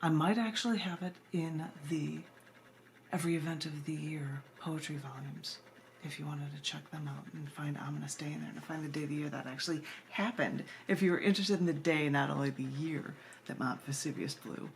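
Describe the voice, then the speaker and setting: A woman's voice: low voice, fairly quick, gravelly, slight background noise. A woman poet giving a conversational live reading, informal and chatty, with asides. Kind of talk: conversational poetry reading on a livestream. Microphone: compact camera microphone.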